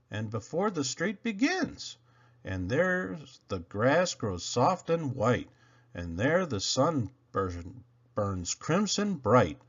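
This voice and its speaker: A man reading children's poems aloud expressively, with theatrical, playful emphasis and dramatic pauses. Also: mid-range voice, fairly bright tone, highs cut off. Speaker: storybook reader.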